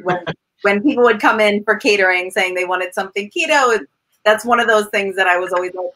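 A woman's voice: medium pitch, fairly quick, sharp tone, rough recording. A woman in her thirties with a pastry background, chatting casually on a video call from her home kitchen. She is chatty and laughs between thoughts. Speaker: pastry baker guest.

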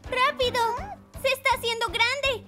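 A woman's voice: very high-pitched, moderate pace, music in the background. A woman voicing a cheerful, caring little girl in a dubbed cartoon. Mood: alarmed. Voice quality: childlike. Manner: animated, encouraging. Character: cheerful, caring little girl who loves pretend play. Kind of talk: Spanish-dubbed children's cartoon acting.

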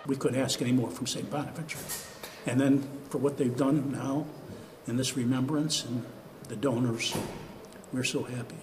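Even-toned older American man, background noise. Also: medium pitch, normal speed. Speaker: interviewee. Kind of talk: reflective interview talk. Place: large indoor hall with chairs. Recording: background noise, room ambience.

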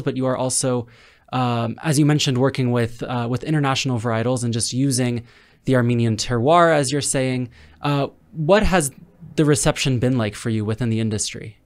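Male podcast interviewer, thirties, medium pitch, polite and measured, close studio mic. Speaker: interviewer, host. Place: small padded podcast studio. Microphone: close studio microphone on arm, headphones.

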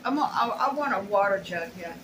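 A woman's voice: medium pitch, expressive, brisk. Middle-aged woman, casual folksy vlogger, rambling, roomy echo, noisy background. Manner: folksy, rambling, muttering asides. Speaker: haul vlogger. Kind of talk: casual unboxing chat. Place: small bare room at home. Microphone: camera or phone a short distance away.

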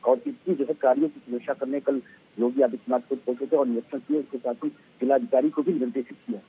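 Middle-aged male field reporter, dull lo-fi live link, halting unscripted delivery. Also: medium pitch, husky. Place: outdoors outside a government office. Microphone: handheld microphone. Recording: muffled, narrow-band live outdoor link.

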